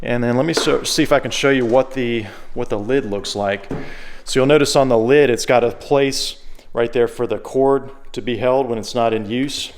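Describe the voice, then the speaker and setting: A man's voice: mid-range voice, normal speed, slight background noise. Man, mid-range voice, shop presenter, casual product demo, conversational, explanatory.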